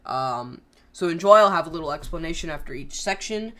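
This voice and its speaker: A channel creator casually explaining the plan for a scrapped series finale, rambling with frequent pauses and restarts. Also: medium pitch, expressive, bright, forceful tone. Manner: hesitant, frequent ums and restarts.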